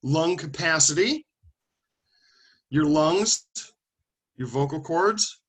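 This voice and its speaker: A man's voice: on the high side, animated, takes it slowly, fairly bright tone, slightly rough. A man lecturing a classroom of students, calling on them by name and thinking aloud as he explains. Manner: conversational, thinking aloud with restarts and asides.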